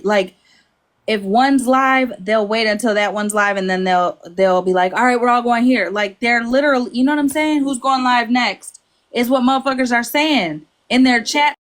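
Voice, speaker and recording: A woman's voice: fairly high pitch, fairly bright tone. A woman chatting casually on a livestream panel, blunt and freely swearing. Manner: blunt, profane. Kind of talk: casual gossip chat.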